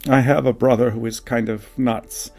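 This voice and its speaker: A man's voice: mid-range voice, little pitch movement, moderate pace, darkish tone, faint music behind, close to an everyday voice. A man voicing a guilt-ridden young man who confesses his pain plainly in an audiobook testimony. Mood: troubled, confiding. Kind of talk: audiobook reading of a personal testimony.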